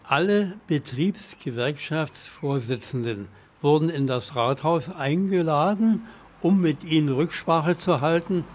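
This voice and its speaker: A man's voice: on the high side, measured pace, a little mellow. A man in his eighties recalling events as an eyewitness in an interview. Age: 80s. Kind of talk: recollection in an interview.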